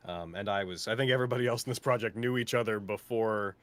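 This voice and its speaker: A man's voice: on the low side, quick pace, no room sound. A man talking casually and sincerely on a cast panel, rambling earnestly as he goes. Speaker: cast member of an audio drama. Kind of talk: casual heartfelt panel chat.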